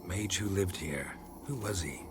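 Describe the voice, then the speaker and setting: A man playing a stoic, blunt monster hunter in a video game, speaking tersely and flatly. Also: low voice, background noise. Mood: calm, reassuring. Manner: terse, flat, unhurried. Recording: music behind the voice.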